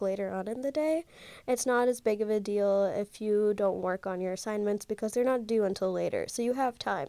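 A woman's voice: fairly high pitch, mellow, no room sound. A young woman in her twenties with a stuffy, congested nose, talking casually and rambling on her solo podcast into a handheld mic, full of "like" and "um". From Ohio, USA. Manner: chatty, rambling, many fillers like "like" and "um". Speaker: podcast host.

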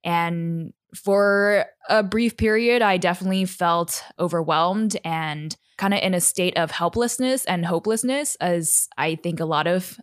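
A woman's voice: fairly low pitch, unhurried, few pauses, clear, resonant tone, no room sound, studio quality. A young woman from Taiwan, a podcast guest telling her story in a thoughtful, articulate way. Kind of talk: interview conversation.

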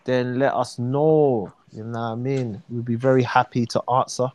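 A man talks in easy street slang, mid-pitched and loose, inviting viewers in. He laughs often and keeps the chat flowing. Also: flat delivery, normal speed, darkish tone. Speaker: live stream co-host. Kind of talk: casual live chat.

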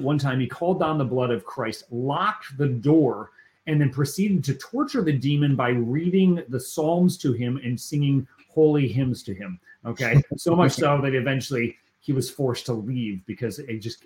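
A man's voice: mid-range voice, a little mellow. Earnest man host in an echoey room. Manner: earnest, emphatic, often adds "right?". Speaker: podcast host. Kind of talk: conversational faith podcast interview.

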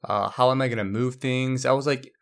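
A man's voice: medium pitch, fairly even intonation, brisk. A young man from Brazil hosting a solo podcast, rambling casually with many fillers and restarts. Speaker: podcast host.

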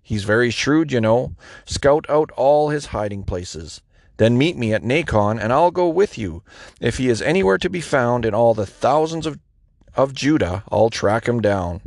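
A man's voice: mid-range voice, animated, moderate pace, gravelly, a little mellow, no room sound, studio quality. A man reading aloud and voicing a weary, remorseful king in an earnest, pleading way. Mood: scheming.

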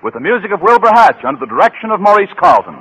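A man's voice: sits high, sing-song, rapid-fire. A male announcer reading scripted credits and commercials in 1940s radio style. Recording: noisy vintage radio broadcast.

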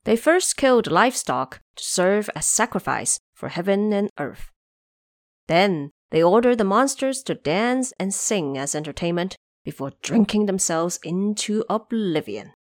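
A woman narrates a fantasy story in a slow, measured way. Her pitch moves expressively through each phrase, and the recording is close and dry. She delivers it as scripted storytelling with explanatory asides. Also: expressive, dry recording. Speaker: narrator of an audio drama series.

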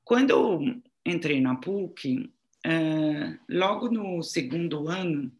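A woman in her sixties, speaking her second language, answers reflectively in an interview, halting as she searches for words. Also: low-pitched, lively intonation, a little mellow. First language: not Portuguese (Portuguese is second language). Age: 60s. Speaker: interviewee, writer and art journalist.

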